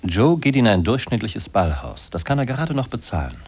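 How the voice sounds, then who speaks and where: An actor as a matter-of-fact narrator in a 1950s radio drama, speaking in short scripted sentences. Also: male voice, fairly low pitch, big pitch swings, fairly quick, background noise. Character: matter-of-fact male narrator following a stilt walker.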